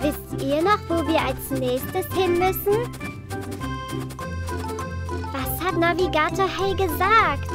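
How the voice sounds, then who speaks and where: A woman voicing a cheerful, helpful little girl in a children's cartoon, encouraging young viewers clearly. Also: very high voice, slow, long pauses. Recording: music under the voice. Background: children's music.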